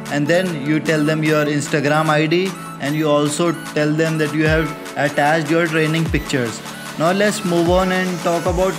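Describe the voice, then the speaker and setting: A young man, hosting an instructive voice-over that talks viewers through the steps. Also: on the high side. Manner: instructive, addresses viewers as guys. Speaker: MMA channel host. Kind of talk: explainer voice-over. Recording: music bed under voice.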